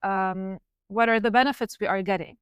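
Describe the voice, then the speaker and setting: Smooth-voiced woman explaining her career. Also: medium pitch, fairly even intonation, dry recording, clean studio sound. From Lebanon. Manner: explanatory, measured, with fillers. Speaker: guest researcher. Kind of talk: podcast interview.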